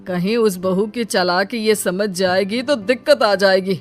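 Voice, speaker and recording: A woman voicing a calm, peace-loving elderly father-in-law in a cartoon who pleads to avoid quarrels. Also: medium pitch, measured pace, soft background music, close to an everyday voice. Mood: soothing.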